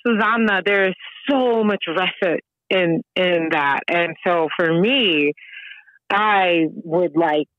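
A woman's voice: lowish voice, takes it slowly, dry recording, lo-fi sound. An American woman from the US South, guest on an interview, talking casually with frequent laughs and fillers. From Georgia, US. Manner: animated, laughs often, many fillers. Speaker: guest opera singer.

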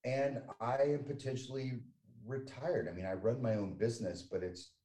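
A man's voice: mid-range voice, steady tone, moderate pace, warm tone. A man in his forties from the United States, talking as a guest in a casual conversation, chatty and emphatic with frequent restarts. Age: 40s.

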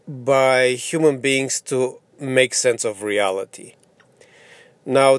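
Man, native Romanian speaker, mid-pitched voice, halting and reflective, informal explanatory monologue, dry close sound. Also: no room sound. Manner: halting, reflective, with frequent pauses and throat clearing. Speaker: podcast host.

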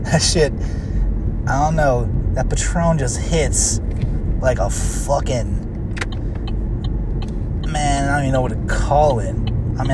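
Young guy in his twenties, casual driving vlog, profane and rambling, road noise behind him. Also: mid-range voice. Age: 20s. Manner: profane, rambling, frequent fillers. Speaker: vlogger. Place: in a car driving.

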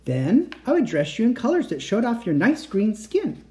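A man voicing a gentle mother reassuring her child at bedtime. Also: sits high, wildly swooping pitch, normal speed. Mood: tender. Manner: soothing, tender reassurance.

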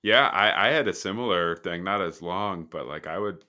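A man hosting a podcast in relaxed casual chat, rambling with fillers and trailing off. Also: low-pitched, moderate pace. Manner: laid-back, rambling, many fillers and trailing off. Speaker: podcast host.

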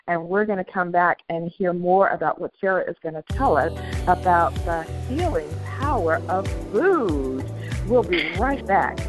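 A woman hosting a talk show, reacting effusively with frequent exclamations of amazement. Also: on the low side. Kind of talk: interview hosting.

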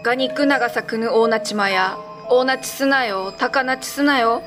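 Woman acting a high-voiced, lovelorn cat-monster wife. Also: moderate pace, music in the background, close to an everyday voice. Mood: eerie, incantatory. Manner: eerie low chanting and tearful, beseeching dialogue. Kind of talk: radio drama horror acting.

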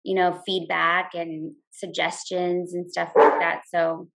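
A woman's voice: on the low side, flat delivery, slow pace, creaky, over music, poor audio. A woman talking casually as a guest in a podcast interview, chatty and full of "like" and "you know". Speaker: guest, teacher and blogger.

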